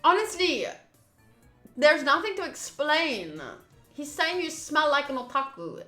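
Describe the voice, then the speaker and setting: A woman streaming a visual novel with casual commentary and lines read aloud. Her voice is fairly high and very bright, in a roomy recording with faint music behind. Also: lively intonation, measured pace. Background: faint game music.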